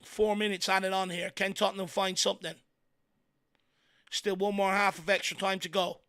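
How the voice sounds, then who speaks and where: Irish guy yelling at the players on a spurs watchalong, gravelly croaky voice from hours of talking. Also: sits high, moderate pace. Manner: excitable partisan, shouts encouragement at players. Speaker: fan channel host doing live match commentary. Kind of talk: watchalong fan commentary.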